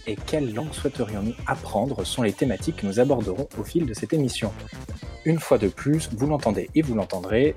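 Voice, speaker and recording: Playful male show host, mellow voice. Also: mid-range voice. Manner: upbeat, playful introductions. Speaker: podcast host. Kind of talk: casual remote chat show.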